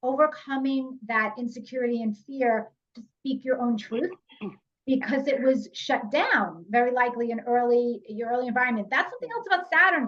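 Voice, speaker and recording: A woman's voice: on the high side, a bit bright. A woman hosting an astrology podcast in casual conversation, speaking animatedly and addressing her listeners directly. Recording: muffled lo-fi audio.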